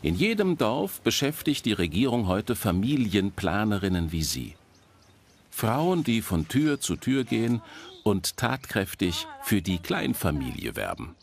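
A man's voice: fairly low pitch, sing-song, a little slow, creaky. A man giving an explanatory, emphatic documentary lecture. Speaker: presenter lecturing with statistics. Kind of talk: documentary lecture voice-over in German dub.

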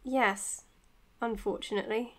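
An actress playing an anxious, caring young doctor in an audio drama, hesitant and dryly exasperated. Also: female voice, on the high side, steady tone, unhurried, natural voice. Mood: flustered. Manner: hesitant, flustered, dryly sarcastic. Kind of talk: naturalistic audio drama acting.

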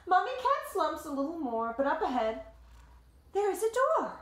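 A woman in her thirties reading a picture book aloud to children like a teacher, with dramatic, deliberate phrasing and simple explanations, recorded in a living room. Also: high-pitched, big pitch swings. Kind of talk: expressive storybook read-aloud with explanatory asides. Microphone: camera or phone a short distance away. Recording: roomy home echo.

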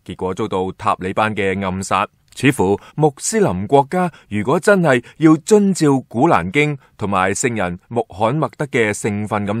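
A man's voice: lowish voice, dry recording, clean studio sound. A man with a Hong Kong Cantonese accent narrating scripted radio commentary and programme previews.

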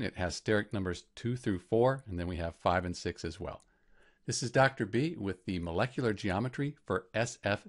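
Male science teacher, lower register, narrating a lesson in clear, methodical steps. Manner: clear, step-by-step explaining. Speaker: chemistry teacher. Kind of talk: scripted educational narration.

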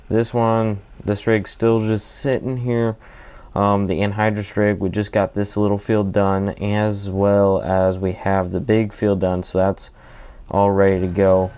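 A man's voice: fairly low pitch, a little mellow, clear, resonant tone. A man hosting his own gaming channel, narrating a farming game in easygoing, rambling commentary with plenty of ums and uhs. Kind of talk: casual gameplay commentary.

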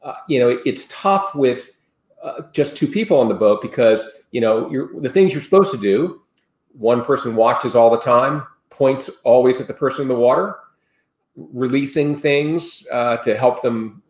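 A man's voice: medium pitch, moderate pace. A man in his sixties, a sailing expert guest on a video call from home, explaining safety steps in a rambling, earnest way with many restarts. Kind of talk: casual explanatory interview talk. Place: home room, indoors. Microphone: video call webcam. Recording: roomy video call audio.

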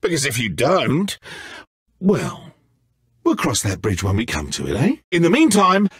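An actor playing a petty, egotistical, godlike villain in a video game, smooth and theatrical, with condescending mock friendliness. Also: male voice, mid-range voice, huge pitch swings, a little slow, fairly bright tone, no room sound, clean studio sound, exaggerated voice. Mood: grandly encouraging.

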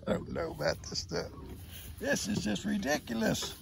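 A man, hoarse from losing his voice, chatting and teasing his farm animals outdoors into a phone held at arm's length. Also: high-pitched, animated, a little slow, heavy background noise. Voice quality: gravelly. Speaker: farm vlogger. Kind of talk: casual talk to animals and camera. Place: outdoors under a shade tree on a farm.

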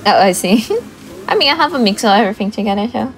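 A mid-pitched woman whose first language is Italian commentates on a game in playful, slightly broken English. Her tone stays amused and easygoing, with faint game music underneath. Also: animated, quick pace. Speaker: speedrun commentator. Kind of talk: casual commentary.

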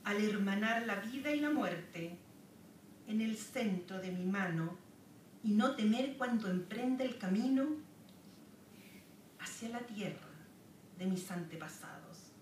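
A Chilean woman poet from the south of Chile gives a poetry reading at a podium in a lecture room, reciting slowly with pauses between lines. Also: mid-range voice, animated. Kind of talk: poetry reading with spoken asides. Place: wood-paneled lecture room, standing at a podium. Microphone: camera far across the room. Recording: roomy, distant, noisy room recording.

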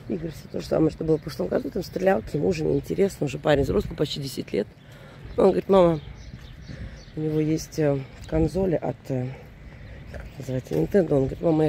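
A woman in her forties with a hoarse voice from a cold, chatting in a rambling, casual way while walking around a seaside resort. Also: deep voice, mellow, slight background noise. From Russian-speaking, living in Germany. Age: 40s. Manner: chatty, rambling, self-correcting. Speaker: vlogger. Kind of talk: casual walking vlog commentary. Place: outdoors at a seaside resort in early morning.

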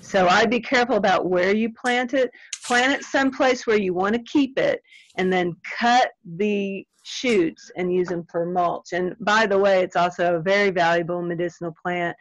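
A middle-aged woman, answering audience questions in a friendly, chatty, self-deprecating way. She is losing her voice and clears her throat now and then. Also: mid-range voice, normal speed, no echo. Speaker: extension weed scientist answering audience questions. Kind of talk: casual Q&A at end of webinar. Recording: dry, slightly dull webinar audio.

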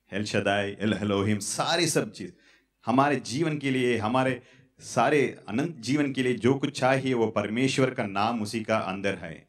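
A man in his thirties preaching a sermon on a church stage into a handheld mic, halting and repetitive. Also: mid-range voice. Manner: halting, repetitive, fillers and restarts. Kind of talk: sermon with prayer. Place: church stage in front of curtains.

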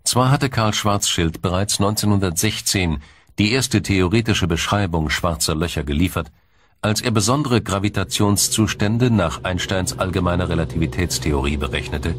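A man reading scripted documentary narration in a measured, explanatory manner. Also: low-pitched, background noise.

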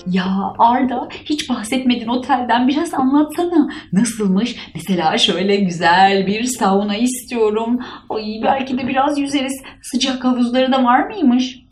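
A woman voicing a sweet, cautious doll wife in a playful cartoon, speaking affectionately with gentle pleading. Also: a little high, moderate pace, hardly any pauses, close to an everyday voice. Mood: eager, grateful. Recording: roomy echo, music underneath.

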